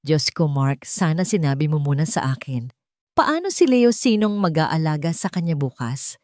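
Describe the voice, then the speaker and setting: A narrator woman plays a busy, affectionate wife in an audiobook drama. She is concerned and questioning. Her voice is mellow and closely recorded. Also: deep voice, expressive, moderate pace, no echo, studio quality. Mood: worried. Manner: questioning, anxious. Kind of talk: dramatic audiobook character dialogue.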